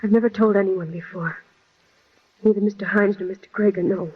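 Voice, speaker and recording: An actress playing an anxious, secretive young secretary in an old-time radio drama. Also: female voice, mid-range voice, fairly even intonation, a little fast, husky, close to an everyday voice. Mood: frightened, confiding. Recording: dull vintage radio drama recording.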